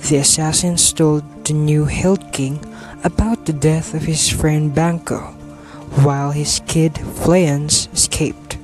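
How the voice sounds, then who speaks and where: Slow-paced male narrator over music. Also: on the high side, steady tone, darkish tone, low-quality recording. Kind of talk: scripted story narration.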